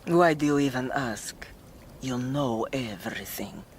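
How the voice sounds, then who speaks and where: An actress playing a world-weary, brooding countess in a radio drama, resigned and grave in manner. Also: female voice, very deep voice, measured pace, slight background noise. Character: world-weary Italian countess confessing to a murder.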